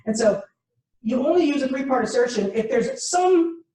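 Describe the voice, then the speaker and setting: A woman's voice: high voice, lively intonation, normal speed, warm tone, recorded from a distance. Woman teaching in an echoey room. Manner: explains patiently, acting out example dialogue. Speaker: workshop instructor. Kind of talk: interactive teaching session.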